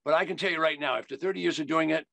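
An older American man with a fairly high, creaky voice and a sharp edge. He teaches like a folksy salesman, hammering key phrases. The sound is dry and close, from a video call. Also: rapid-fire, no echo. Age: older, 70s. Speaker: investing educator. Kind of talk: instructional sales talk.

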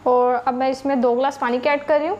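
A woman's voice: high-pitched, fairly even intonation, fast, a little mellow, dull audio, a little hiss or hum. A woman hosting a home cooking channel with a Pakistani Urdu accent, patiently explaining each recipe step to her viewers.

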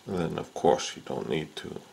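A man's voice: extremely low pitch, normal speed, creaky, darkish tone, a little hiss or hum. A man giving a casual how-to demonstration of repairing a fishing rod, talking unhurriedly with frequent filler sounds as he works at an indoor bench. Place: indoors at a workbench.

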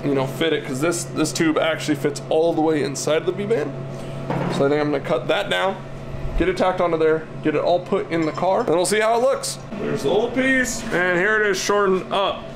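A man's voice: on the high side, fairly quick. A man in his thirties giving casual hands-on build commentary, thinking aloud informally as he works in a garage workshop. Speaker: car builder and vlog host. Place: metal-walled garage workshop, indoors. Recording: loud steady shop ventilation noise.

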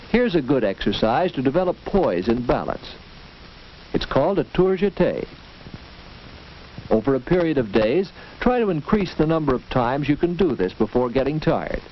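A dull, faintly noisy and clicking vintage film soundtrack carries an American man's voice. He narrates in the scripted style of a 1940s school film, instructive and measured. His mid-pitched voice rises and falls in a lively way as he tells the story.

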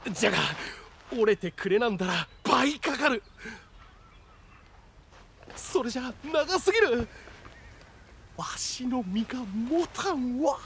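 An animated, shrewd samurai warlord. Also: male voice, very high voice, slow pace, long pauses, slight background noise, full character voice. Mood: earnest, pleading. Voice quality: animated, rustic old-fashioned speech. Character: shrewd, exuberant warlord becoming ruler of the realm. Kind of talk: samurai video game voice acting.

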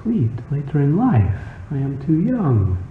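A man voices a reluctant young woman in a literary story. The manner is gentle and reasoning. It comes through thin and phone-like, with clear noise behind it. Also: a little high, huge pitch swings, slow pace, pitched above the performer's own voice, full character voice. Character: reluctant young woman parrying a marriage proposal. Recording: muffled phone-like sound, noisy, roomy echo.

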